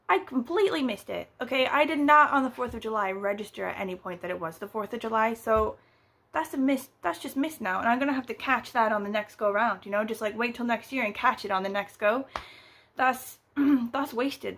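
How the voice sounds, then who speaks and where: A woman's voice: a little high, a bit bright. A British woman in her thirties, chatting at home on camera as a book vlogger, rambling with lots of fillers and casual swearing. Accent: British English. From United Kingdom. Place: at home, indoors.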